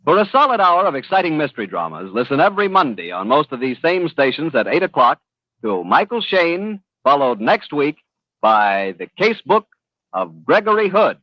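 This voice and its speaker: A dull, vintage broadcast recording carries a male 1940s radio announcer. His voice sits a little high and swings with showy, animated pitch as he reads a scripted promo. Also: moderate pace, no echo.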